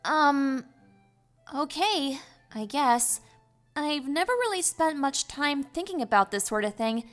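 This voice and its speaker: A woman's voice: high voice, animated, slow, a bit bright, faint music behind, exaggerated voice. A woman voicing an awkward, bookish young female pony in a dramatized story reading, hesitant and easily flustered. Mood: nervous, evasive.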